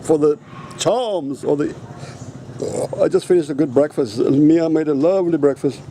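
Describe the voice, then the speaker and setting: Native Afrikaans-speaking man, casual vlog, faint background noise, slightly high voice. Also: normal speed, dark tone. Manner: rambling, blunt, swears casually. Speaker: vlogger. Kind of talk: casual rambling vlog talk.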